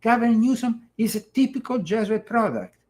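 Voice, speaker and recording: Halting Italian-accented male talk host. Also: very high-pitched, expressive, a little mellow. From Italy. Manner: emphatic, halting with many fillers and restarts. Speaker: show host. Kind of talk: political commentary talk show.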